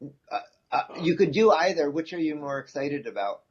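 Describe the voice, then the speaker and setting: A man with a slightly higher-pitched voice leads a class review on a video call. He speaks informally and hesitantly, as if thinking aloud. The audio is dull and lo-fi. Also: expressive, normal speed, husky. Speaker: instructor leading an online class review.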